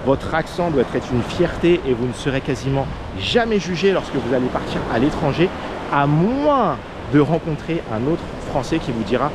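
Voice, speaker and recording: A man's voice: fairly high pitch, very animated, moderate pace. A man from France with a French accent, giving casual advice as a vlogger in an earnest way, with many fillers. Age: under 40. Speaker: vlogger, expat coach. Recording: outdoor noise of waves and traffic.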